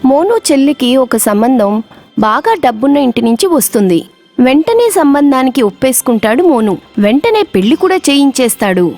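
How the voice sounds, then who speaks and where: A woman tells a children's moral tale as a scripted narrator. Her voice is on the high side, and soft music plays behind her. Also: sing-song, normal speed. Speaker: storyteller, narrator.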